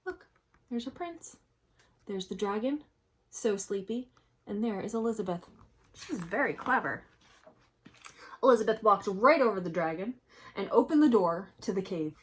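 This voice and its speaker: A woman storyteller reading a picture book to young children, expressive and emphatic, chatting to them with questions. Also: medium pitch, normal speed, pauses often, husky. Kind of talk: animated storybook read-aloud with commentary to young children.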